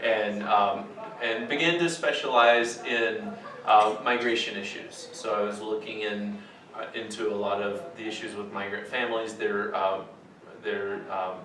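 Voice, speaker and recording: A man's voice: on the low side, steady tone, measured pace. A man giving a translated voice-over, speaking plainly and measuredly with some fillers. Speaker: voice-over interpreter. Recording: very echoey, distant, faint noise.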